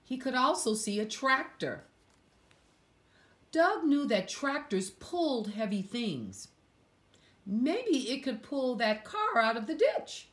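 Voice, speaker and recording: A woman reading a picture book aloud to young children, with dramatic emphasis and hushed asides to her listeners. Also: high-pitched, big pitch swings, takes it slowly. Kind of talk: expressive read-aloud storytelling for young children.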